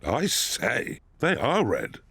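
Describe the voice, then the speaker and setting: A gravelly, jovial daddy pig. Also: medium pitch, huge pitch swings, takes it slowly, a bit bright, dry recording, exaggerated voice. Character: good-natured father pig. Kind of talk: cartoon voice acting.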